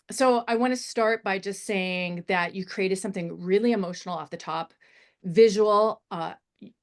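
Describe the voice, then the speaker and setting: A woman giving unscripted feedback on a screenplay as a panelist, encouraging and feeling her way through her phrasing. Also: medium pitch. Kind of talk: unscripted screenwriting feedback in a table read discussion.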